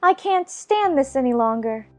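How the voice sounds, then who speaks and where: A woman's voice: high voice, lively intonation, moderate pace, darkish tone. An actress playing a polite but indignant young girl in a storybook dramatization, speaking emphatically. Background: music.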